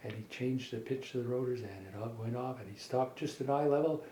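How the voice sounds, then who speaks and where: A middle-aged man telling a made-up children's story in a halting, folksy way, repeating words as he goes. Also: medium pitch, mellow. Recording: echoey room with faint background noise.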